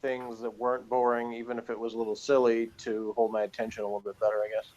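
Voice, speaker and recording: A man's voice: medium pitch, little pitch movement, fast talker, lo-fi sound, very noisy. A man with a mid-range voice talks through his opinions in a casual review chat. He rambles a little and feels his way hesitantly toward each point, as in unscripted conversation.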